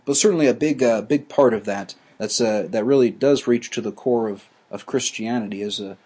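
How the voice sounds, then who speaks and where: Husky-voiced man giving a halting lecture. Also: mid-range voice, dark tone. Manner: halting, many restarts and fillers. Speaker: teacher.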